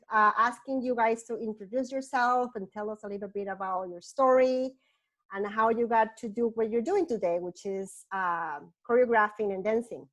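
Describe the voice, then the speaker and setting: A woman wedding planner hosting an interview, friendly and mixing Spanish greetings into her talk. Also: fairly high pitch.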